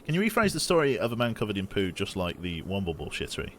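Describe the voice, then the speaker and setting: A man's voice: lowish voice, wildly swooping pitch, fast, fairly bright tone, a little hiss or hum. A man streaming a game in casual commentary, chatty and talking through each action aloud, sounding slightly sniffly and congested. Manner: chatty, muttering his actions aloud as he plays.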